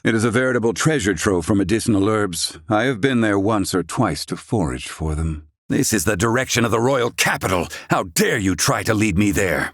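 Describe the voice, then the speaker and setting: An actor playing a proud, curt, bookish scholar-healer in a fantasy video game, speaking in formal, archaic, exacting phrases. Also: male voice, lowish voice, no echo, studio quality. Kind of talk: video game character dialogue.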